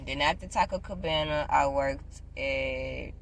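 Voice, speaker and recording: A woman in her twenties chatting casually to camera, giving job advice, loud and playful and repeating herself often. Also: a bass voice, unhurried, slight background noise. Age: 20s. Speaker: vlogger.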